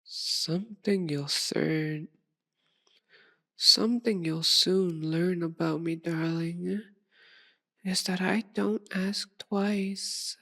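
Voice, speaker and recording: A seductive, sadistic vampire mistress, played by a woman in an intimate ASMR roleplay. She speaks very slowly in a slightly low voice. Her delivery is possessive and commanding, a purr that often slips toward a whisper. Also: gravelly, fairly bright tone, heavily acted. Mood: smug, commanding. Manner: slow, purring, domineering, often dropping into whispers.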